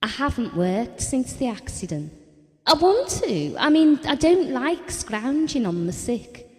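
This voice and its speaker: Wry, confiding wife, lively intonation. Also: female voice, high voice, unhurried, in a large hall. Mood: defensive, wry. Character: candid, self-deprecating, bereaved wife reminiscing about her marriage. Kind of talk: intimate audio drama monologue.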